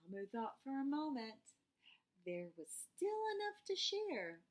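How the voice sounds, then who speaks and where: A woman narrates a picture book for children in a fairly high, highly melodic voice. She reads slowly, leaving dramatic pauses and stretching out phrases. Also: very animated. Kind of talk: expressive children's read-aloud narration.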